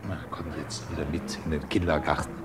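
A man's voice: extremely low pitch, little pitch movement, brisk, background noise. An actor playing a cynical, ruthless British man of power in a German radio play, with a sardonic, cutting way of talking. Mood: low, brooding. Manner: sardonic, cutting, coldly provocative.

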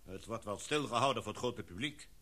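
A man's voice: lowish voice, expressive, fairly quick, bright, forceful tone. An actor playing a commanding, decisive male expedition leader in a radio play, addressing a meeting in a formal, speech-like way. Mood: measured.

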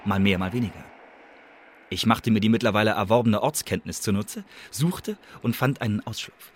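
An actor playing a wary, brooding male secret agent in a radio drama, telling his story in a confiding, dramatic way with a husky, breathy voice. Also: fairly low pitch, normal speed, close to an everyday voice. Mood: watchful, tense. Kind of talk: German radio drama monologue, intimate storytelling.

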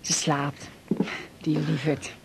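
An older mother in a Dutch radio drama. Her low voice is gravelly and hushed, muttering slowly and haltingly. A faint noisy rumble sits underneath. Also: little pitch movement, exaggerated voice. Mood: quiet, hesitant. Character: older mother, perceptive, hesitant, muttering to herself. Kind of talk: naturalistic 1980s radio drama acting.

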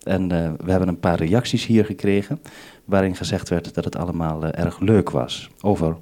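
A man's voice: sits low, fairly even intonation, normal speed, dark tone. A Dutch man working as a radio programme maker, giving methodical, instructive briefings over a two-way radio link.

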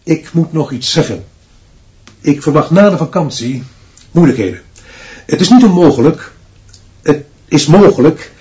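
An actor in a radio drama playing a merciless, authoritarian school director who speaks in clipped pronouncements. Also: male voice, on the high side, expressive, moderate pace, long pauses, mellow, highs cut off, natural voice. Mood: grave, emphatic. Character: stern, dictatorial male headmaster, unbending and merciless. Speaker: school director addressing his teaching staff.

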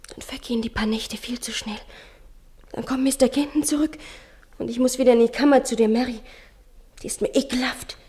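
An actress playing a clingy, jealous twelve-year-old girl in a 1950s German radio play, intense and breathy, often dropping to whispers. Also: fairly high pitch, fairly even intonation, fairly quick, dark tone, close to an everyday voice. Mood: bitter, passionate.

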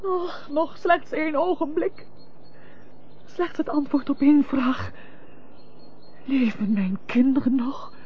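A woman's voice: high voice, slow, long pauses. An actress playing a remorseful, tender estranged wife and mother, pleading solemnly in formal, theatrical radio drama style. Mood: anxious pleading. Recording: dull lo-fi radio play recording.

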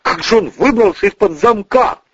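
Casting: narrator as flustered weakling making excuses, raised husky male voice, dull audiobook sound. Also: on the high side, unhurried, a bit bright, no echo, pitched above the performer's own voice, full character voice. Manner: exclaiming, mumbling. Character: nervous, cowed man protesting and making excuses.

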